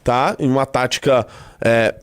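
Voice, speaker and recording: A man's voice: medium pitch, steady tone, unhurried, smooth. A Brazilian man with a stuffy nose hosting a live show in loose, joking commentary, peppered with "tá?". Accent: Brazilian Portuguese. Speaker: livestream host.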